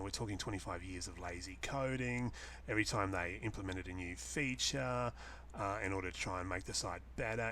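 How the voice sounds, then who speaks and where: A man with an Australian accent giving a casual, unscripted explanation to a webcam in a halting, rambling way, repeating phrases for emphasis. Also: low voice, sharp tone, a little hiss or hum. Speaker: host answering a viewer's question, online seller. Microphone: webcam setup.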